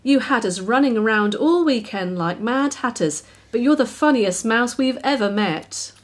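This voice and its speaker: Woman acting a brisk mother, expressive, motherly, raised pitch, lively swoops, children's storybook. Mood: cheerful, forgiving.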